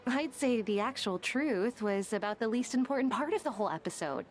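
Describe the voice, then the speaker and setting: A woman's voice: fairly high pitch, animated, a little fast, slight background noise, lightly acted. A woman voicing a tactful, bookish young female scholar in a video game, wry, careful and a little shy. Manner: careful, wry, slightly hesitant.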